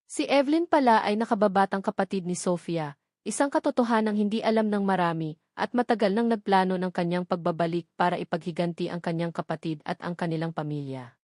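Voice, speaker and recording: A woman's voice: lowish voice, a little mellow, no echo. Filipino woman narrating a story, dry sound. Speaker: audio drama narrator.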